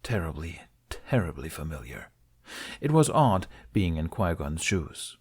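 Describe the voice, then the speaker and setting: A man's voice: sits low, very animated, unhurried, darkish tone, breathy edge. A man reading scripted story narration as an audiobook narrator.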